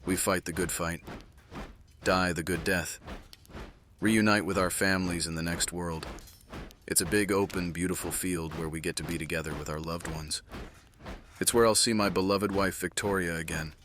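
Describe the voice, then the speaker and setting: Man acting a deep-voiced, world-weary soldier commander. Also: fairly even intonation, fairly bright tone, slight background noise. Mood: earnest, wistful. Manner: measured, earnest, dryly wry. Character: world-weary mortal military commander, devoted widowed father. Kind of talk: fantasy audio drama acting.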